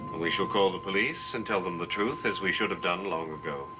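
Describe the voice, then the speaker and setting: An actor playing the commanding, tormented master of a cursed manor in a 1960s gothic soap opera, stern and theatrical. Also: male voice, low voice, brisk, music in the background, close to an everyday voice. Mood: commanding, urgent.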